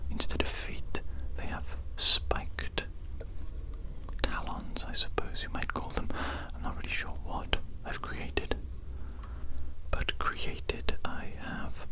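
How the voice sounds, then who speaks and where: A performer voicing a smug, pompous evil mad doctor in a breathy, deliberate whisper for an ASMR roleplay. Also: natural voice, low-quality recording. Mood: smug, boastful. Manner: deliberate, repetitive, pompous villain musing. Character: smug evil mad doctor, condescending yet softly patient.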